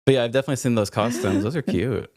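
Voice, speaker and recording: A man's voice: mid-range voice, very fast, darkish tone, dry recording, studio quality. An American man from the Midwest hosting a podcast with mock-grandiose, sarcastic comedy delivery. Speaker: podcast host. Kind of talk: scripted comedic monologue and banter.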